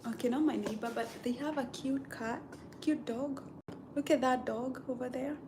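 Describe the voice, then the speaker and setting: A woman's voice: sits high, fairly even intonation, normal speed, background noise. A woman from East Africa chatting live with viewers, laughing often and teasing their guesses, with a sore throat. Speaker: livestream host. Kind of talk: casual live chat with viewers.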